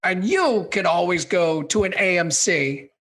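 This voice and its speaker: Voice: mid-range voice, lively intonation, measured pace, fairly bright tone. An actor playing a cranky, dismissive film critic in their sixties in a scripted comedy radio skit. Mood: grumpy. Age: 60s. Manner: grumbling, dismissive, combative. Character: cranky opinionated 68-year-old film critic, a baby boomer.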